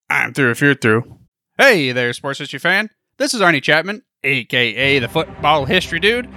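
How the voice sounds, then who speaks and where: A man's voice: fairly high pitch, pushed and bright, dry recording, clean studio sound. A man hosting a podcast, giving a casual promo read and sign-off straight to his listeners. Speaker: podcast host. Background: faint music.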